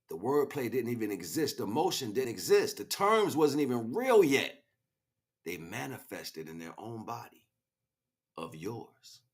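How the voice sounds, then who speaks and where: A man delivering an impassioned, improvised spiritual monologue, preachy and grandiose, swinging between hushed asides and loud, emphatic declarations. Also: on the low side. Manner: preachy, grandiose, repeats phrases for weight. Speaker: spiritual speaker, streamer.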